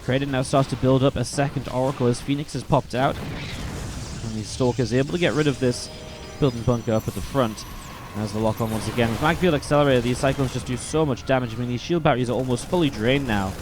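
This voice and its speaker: A man casting a live strategy game match on his own, in a mid-range voice. He sounds a little sniffly from a cold and keeps up a steady stream of play by play. Faint game music runs under him. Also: mid-range voice, quick pace. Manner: steady, builds excitement in fights. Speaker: esports caster. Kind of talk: solo play-by-play commentary.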